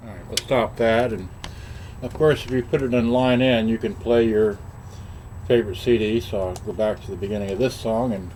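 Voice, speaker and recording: A man giving a casual product demonstration as a seller, unhurried and pausing mid-sentence. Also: fairly low pitch, steady tone, background noise. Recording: radio or music playing behind him.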